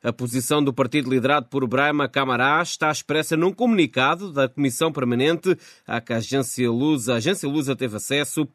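A man's voice: mid-range voice, fast, fairly bright tone, no room sound. A man presenting scripted radio news as host, speaking into a studio microphone.

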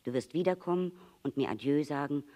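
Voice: mid-range voice, brisk, mellow, lightly acted. A storyteller voicing an earnest, wistful fox who longs to be tamed, speaking in an emphatic, pleading, explanatory way. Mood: sad, gentle. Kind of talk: storybook character reading.

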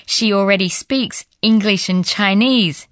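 A woman narrates a language lesson in a measured, explanatory tone. Her voice sits in a mid range and is recorded dry and close, with no room sound. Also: mid-range voice, dry recording. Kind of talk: scripted narration.

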